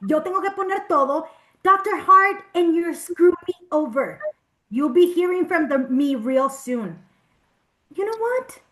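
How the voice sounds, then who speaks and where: A woman acts out an enraged patient with a very high voice. She is combative and sarcastic, slipping between English and as she spirals through a heated drama scene. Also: expressive, unhurried, fairly bright tone, heavily acted. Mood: furious, threatening. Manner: confrontational, swearing, switches between English and Spanish. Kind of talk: heated dramatic acting at a table read.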